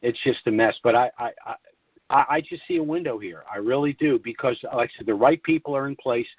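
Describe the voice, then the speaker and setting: A man's voice: medium pitch, fairly quick, breathy edge, dry recording. Dry, muffled man on a conference call. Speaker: conference call host and commentator. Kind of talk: opinionated call-in commentary. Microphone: telephone conference line.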